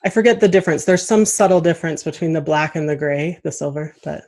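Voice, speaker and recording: A woman's voice: sits low, fast, darkish tone. A woman hosting a casual podcast interview in an enthusiastic, effusive way. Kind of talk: casual friendly video interview. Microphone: USB desktop microphone.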